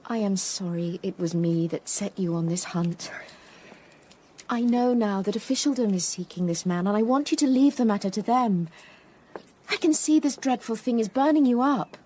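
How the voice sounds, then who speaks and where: An actress playing a grieving, gentle Tudor widow in a period radio drama, speaking with measured formality. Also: female voice, mid-range voice, a little hiss or hum, natural voice. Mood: concerned.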